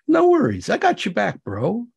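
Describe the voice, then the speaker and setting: A man's voice: sits high, huge pitch swings, moderate pace, gravelly, darkish tone, husky, pitched above the performer's own voice, heavily acted. A man voicing a wisecracking, streetwise hotel boss with a punchy, slangy, needling delivery in a story reading. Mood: breezy, reassuring. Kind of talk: audiobook-style character dialogue. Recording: live reading at an event.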